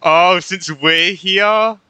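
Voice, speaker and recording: A young man doing casual gameplay commentary, excitable and slangy as he reacts aloud. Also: high-pitched, very slow, clear, resonant tone. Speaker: gaming streamer. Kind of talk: casual let's play commentary.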